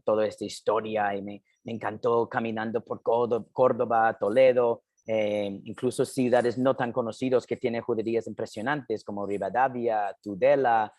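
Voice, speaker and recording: A man's voice: lowish voice, darkish tone, no echo. A man from New Jersey whose first language is English, speaking Spanish with an American accent, a hesitant guest talking in a casual interview, full of fillers. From New Jersey, United States. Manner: hesitant, many "eh" fillers and restarts. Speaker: guest, documentary filmmaker.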